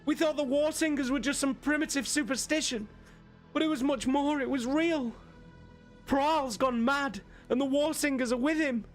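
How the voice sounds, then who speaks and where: A man's voice: extremely high pitch, moderate pace, faint music behind, voice pushed up. A man voicing a terrified, desperate woman soldier pleading on a distress recording in a dramatic story reading. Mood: frightened, urgent. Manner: frantic, pleading.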